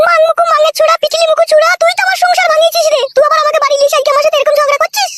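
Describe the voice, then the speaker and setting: Squeaky, scolding cartoon village wife. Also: male voice, little pitch movement, very fast, bright, forceful tone. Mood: furious. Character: shrill, scolding young village wife, aggrieved and combative. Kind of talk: comic cartoon dubbing in Bengali. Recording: noisy home-made dubbing.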